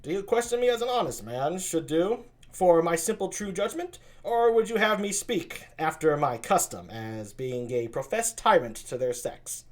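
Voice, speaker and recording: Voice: mid-range voice, expressive, moderate pace, few pauses, pitched above the performer's own voice, natural voice. A reader doing a wry mocking bachelor. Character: mocking bachelor, scornful of love.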